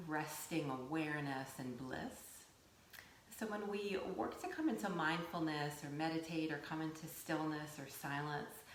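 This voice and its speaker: A woman teaching yoga nidra, giving gentle guided meditation instruction in a soothing, unhurried way with pauses. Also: low-pitched. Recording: roomy echo, faint background noise.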